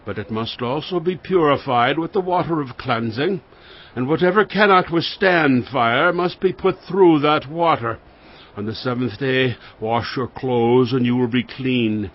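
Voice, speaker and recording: Slow, solemn male priest, played by an actor. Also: medium pitch, natural voice. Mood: solemn, instructive. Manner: deliberate, measured, declaiming. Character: solemn male priest instructing soldiers in sacred law. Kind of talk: dramatized scripture acting. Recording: dull, narrow-band audio.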